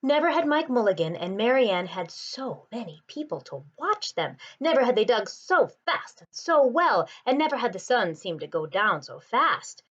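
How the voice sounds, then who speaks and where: A woman reads a children's storybook in a fairly high voice with very lively intonation. Her phrasing is expressive and deliberate. The recording sounds slightly dull and muffled. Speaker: storybook reader. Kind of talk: children's picture book read-aloud.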